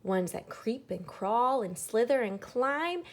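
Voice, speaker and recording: A woman's voice: a little high, lively intonation, takes it slowly. A woman voicing God in a children's storybook as a kindly, commanding figure, emphatic and caring. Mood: reassuring.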